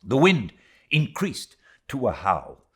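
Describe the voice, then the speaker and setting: A British man giving a dramatic reading of a literary audiobook, theatrical in delivery, moving between swelling intensity and hushed tones. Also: medium pitch, huge pitch swings, takes it slowly, slightly rough. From Britain. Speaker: audiobook narrator.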